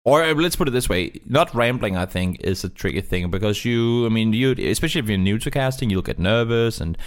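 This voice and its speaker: A man who is not a native English speaker, talking casually and in a rambling, animated way as a guest caster in an interview. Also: fairly low pitch, a little fast. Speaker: esports caster being interviewed.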